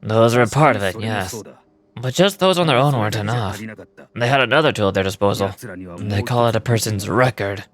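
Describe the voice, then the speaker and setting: A man voicing a grave, loyal desert gang member in a game, explaining things in a measured amateur read. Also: fairly low pitch, music in the background, close to an everyday voice. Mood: grave, explanatory. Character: grave, loyal male desert gang member recounting his boss's sacrifice. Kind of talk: amateur voice acting of game dialogue.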